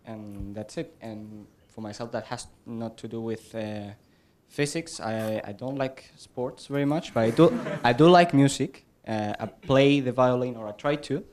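Man, student, friendly, bit nervous, mid pitch. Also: unhurried, hardly any pauses, darkish tone, a little hiss or hum. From Spain.

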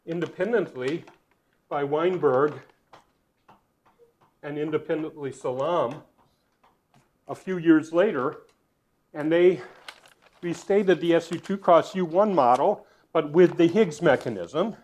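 A man giving a university physics lecture, thinking aloud as he writes, with fillers, restarts and swings in loudness. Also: on the high side, slow pace, pauses often. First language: not Dutch.

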